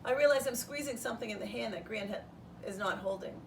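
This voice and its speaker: A woman reading a children's storybook aloud in the first person as a schoolteacher would, with an expressive delivery. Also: medium pitch, fast, creaky. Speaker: third grade teacher reading aloud. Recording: distant mic, roomy background noise.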